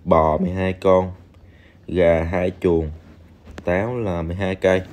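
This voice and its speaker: Male, low warm voice, casual voice-over for a game video, lots of fillers. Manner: chatty, addresses viewers directly, many fillers. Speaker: game reviewer. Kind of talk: casual screen-recorded game walkthrough. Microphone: voice-over on screen recording.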